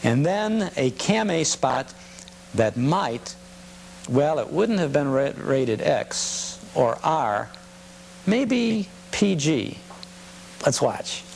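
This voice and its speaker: A man hosting a nostalgia program, giving halting, conversational commentary and reminiscing about vintage commercials. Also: fairly high pitch, very animated, creaky, a little mellow, a little hiss or hum, low-quality recording.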